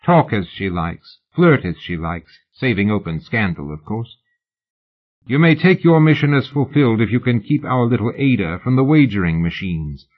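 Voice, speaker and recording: Man voicing a mincing ironic male official, low-ish voice, muffled lo-fi sound. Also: lively intonation, moderate pace.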